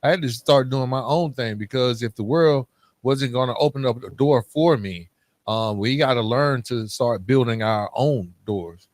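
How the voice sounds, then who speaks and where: A man's voice: medium pitch, normal speed, a little mellow, no echo. A man speaking as a podcast host in a casual, candid, colloquial way, reflecting on personal experience.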